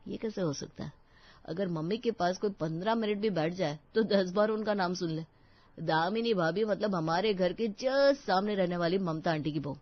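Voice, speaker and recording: A woman's voice: on the low side, normal speed, no room sound, lo-fi sound, natural voice. A woman voicing a cautious, teasing young bridegroom in casual dialogue for a dramatized family story.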